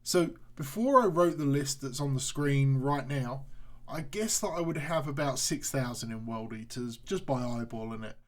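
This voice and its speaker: Male hobby channel host, average pitch, frank and informal, delivering casual showcase narration. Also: normal speed.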